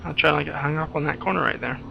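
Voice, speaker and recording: A man's voice: on the high side, racing, creaky, muffled recording, crowd noise. A man giving casual playthrough commentary over a video game, repeating words as he reacts to the play. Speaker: gamer and screenwriter commentator. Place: recorded over gameplay with music.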